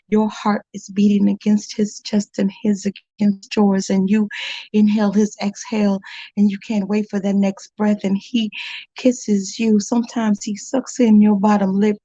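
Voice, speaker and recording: A woman's voice: mid-range voice, warm tone, no echo. A middle-aged woman performing spoken word poetry with a bold, sassy, rhythmic delivery. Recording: dull, dry, lo-fi sound.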